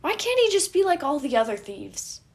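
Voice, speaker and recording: A woman's voice: high voice, big pitch swings, moderate pace, pitched above the performer's own voice. A woman voicing a bold, theatrical teenage prince with a grandiose, exclamatory way of talking in a storybook reading. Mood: frustrated. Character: bold theatrical young prince, boastful and dramatic.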